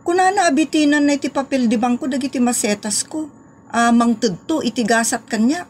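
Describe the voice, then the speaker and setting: A woman's voice: high voice, fairly quick. Woman acting nagging Ilocano wife, exclamatory. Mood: exasperated. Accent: Ilocano Filipino. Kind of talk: Ilocano comedy audio drama acting.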